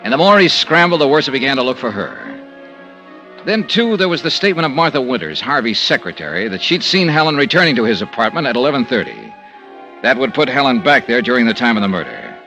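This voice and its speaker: Wry hard-boiled radio investigator, bright lively voice, old radio recording with music. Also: male voice, mid-range voice, fast, rough recording. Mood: dry narration. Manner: wry, clipped detective narration. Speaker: lead narrator. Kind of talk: 1950s radio drama acting.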